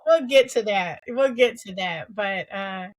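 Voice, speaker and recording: A woman's voice: medium pitch, measured pace, dry recording. A woman in her fifties from the US East Coast, a surgeon telling her story fluently as a podcast guest. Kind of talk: conversational interview.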